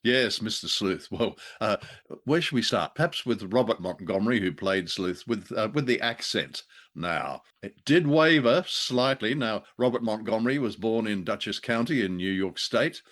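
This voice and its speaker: Man, fairly low pitch, podcast co-host, casual discussion, halting, hesitant phrasing. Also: animated, a bit bright. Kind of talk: casual discussion of old radio drama.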